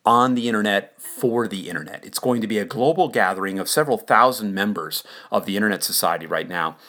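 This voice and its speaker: A man's voice: lowish voice, a little fast, sharp tone. A man hosting a solo podcast, speaking in a conversational, enthusiastic way in an informal monologue. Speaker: podcast host. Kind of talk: casual informal monologue about an upcoming event.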